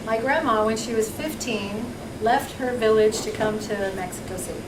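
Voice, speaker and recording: A fairly high-voiced woman interprets into English in an even, careful way. She sounds distant in an echoey hall with steady noise. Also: normal speed. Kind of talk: consecutive interpretation.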